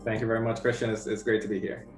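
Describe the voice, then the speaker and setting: A man's voice: fairly low pitch, rapid-fire, soft background music. Man talking in an echoey room. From Argentina. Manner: relaxed, rambling with many "like" fillers and restarts. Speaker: interview guest, brand designer. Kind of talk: casual interview conversation.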